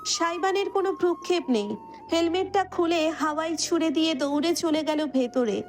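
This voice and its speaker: A woman's voice: very high voice, moderate pace. A woman narrator reading a romance story as dramatic scripted narration.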